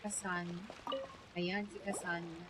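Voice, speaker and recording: A woman's voice: fairly low pitch, little pitch movement, normal speed, very noisy. A woman with a Filipino accent giving casual live commentary as she streams a game. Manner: switches between Tagalog and English. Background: loud game music.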